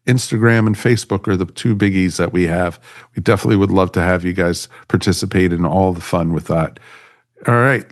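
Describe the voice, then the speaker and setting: A man hosting a podcast in casual, chummy chat, addressing everyone like friends. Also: fairly low pitch. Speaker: podcast host.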